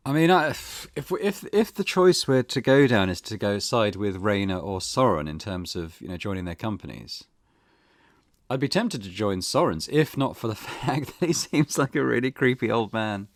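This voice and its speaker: A man's voice: on the low side, lively intonation, normal speed, no echo, studio quality. A man hosting a let's play, giving casual, wry commentary and reading game narration aloud. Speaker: gaming video host. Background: game music.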